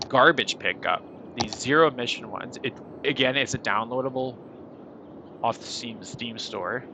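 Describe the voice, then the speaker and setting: A man's voice: medium pitch, normal speed, background noise. A man with a head cold and a nasal voice, chatting casually and rambling while he plays a city-building game on stream. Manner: rambling, chatty, self-deprecating. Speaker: gaming streamer. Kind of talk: casual gameplay commentary.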